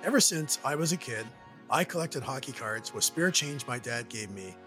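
Canadian male host-narrator, animated delivery, light music bed. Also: medium pitch, moderate pace. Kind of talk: scripted narration.

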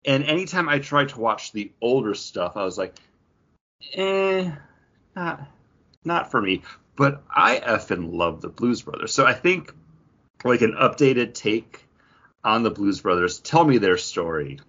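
A man's voice: mid-range voice, sing-song, unhurried, sharp tone, muffled recording. A man co-hosting a podcast in casual, rambling pop-culture chat with some mild swearing. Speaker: podcast co-host.

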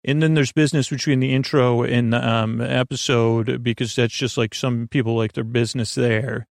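Creaky, rambling man hosting a podcast. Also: medium pitch, steady tone, no echo, clean studio sound. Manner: meandering, halting, self-interrupting, repetitive. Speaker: podcast host. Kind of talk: rambling bedtime podcast monologue.

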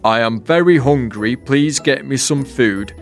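Man playing a demanding, loud night sky creature, lecturing, faint music under. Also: medium pitch, fairly even intonation, unhurried, lightly acted. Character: bossy, demanding fallen piece of night sky.

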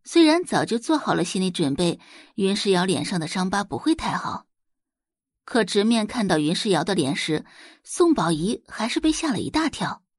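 A woman's voice: mid-range voice, very animated, moderate pace, breathy edge, no echo, clean studio sound. A woman narrating a novel as an audiobook, in a dramatic scripted storytelling style.

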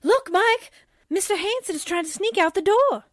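Actress as a high-pitched, pert newspaperwoman sidekick. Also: animated, moderate pace, no echo. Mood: excited. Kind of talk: 1940s radio drama acting.

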